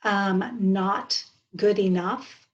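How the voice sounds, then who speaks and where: A woman of about fifty with an American accent, talking earnestly and haltingly as a guest in an interview, often restarting her sentences. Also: medium pitch, fairly even intonation, highs cut off. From southern United States. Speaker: podcast guest. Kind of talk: reflective interview conversation.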